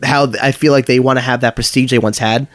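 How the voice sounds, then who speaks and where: A man's voice: medium pitch, fairly even intonation, fast talker, dry recording. A young man from the United States chatting casually as a podcast guest, rambling with lots of stammered restarts and casual swearing. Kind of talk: casual chat.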